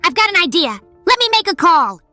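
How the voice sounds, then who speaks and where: A woman voicing a quick-witted, assertive young female cartoon animal, direct and expressive. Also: very high-pitched, no echo, soft background music, full character voice. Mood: concerned.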